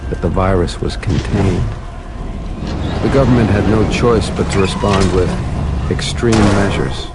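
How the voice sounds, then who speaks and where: An actor playing a grim, weary special-ops soldier, narrating a video game mission in a brooding, wry manner. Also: male voice, deep voice, unhurried, very noisy. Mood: somber, measured. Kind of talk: scripted first-person game narration.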